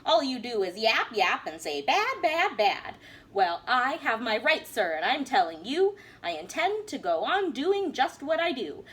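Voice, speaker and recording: A woman playing a greedy, stubborn male factory owner who shouts back defiantly. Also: fairly high pitch, very animated, measured pace.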